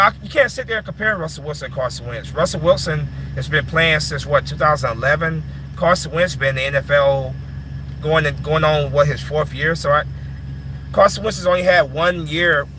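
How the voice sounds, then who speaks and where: A man's voice: fairly high pitch, fairly bright tone, slight background noise, rough recording. A man in his twenties answering chat questions in casual talk, often repeating and restarting his words. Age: 20s. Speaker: live stream host answering chat.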